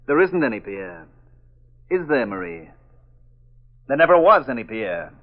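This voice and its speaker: A muffled old radio recording carries a cocky amateur sleuth. He talks in clipped, commanding phrases at an ordinary male pitch, in the tough style of a 1940s mystery drama. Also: very animated, measured pace. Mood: accusing.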